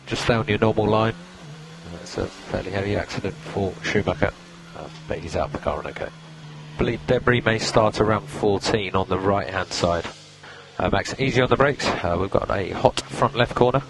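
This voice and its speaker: A man with a low voice works as a race engineer on team radio. He gives calm, clipped instructions and repeats them methodically. The radio link is clearly noisy, with vehicle sound behind him. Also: moderate pace.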